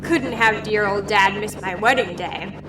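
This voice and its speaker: An actress playing a gleeful, theatrical rock-star supervillain in a comedy audio drama. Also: female voice, mid-range voice, unhurried, very noisy, natural voice. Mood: mock innocent. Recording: roomy, distant, under loud music.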